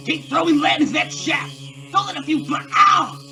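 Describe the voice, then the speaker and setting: A woman playing a rough, panicky male outlaw in an old-time radio western, barking orders. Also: high voice, expressive, moderate pace, bright, forceful tone, shouting, soft background music. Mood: alarmed.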